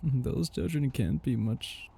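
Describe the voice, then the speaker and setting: Casting: male actor as ancient vampire master for ASMR roleplay, very dark and breathy, gravelly edge, possessive, teasing. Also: mid-range voice, slow pace, exaggerated voice. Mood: brooding, possessive. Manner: languid, teasing, condescending endearments, soft chuckles. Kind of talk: intimate ASMR roleplay monologue.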